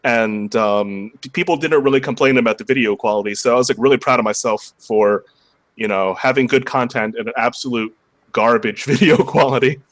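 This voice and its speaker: Male livestream guest, slightly hoarse, casual and halting, medium pitch, working out answers as he talks. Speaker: interview guest, cube shop seller and video maker.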